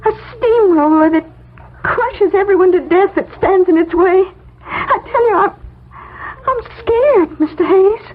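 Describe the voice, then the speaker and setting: An actress playing a timid, plaintive young secretary in 1970s radio drama style, on a muffled old recording. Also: female voice, very high-pitched, unhurried. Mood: tearful, frightened. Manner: hesitant, plaintive.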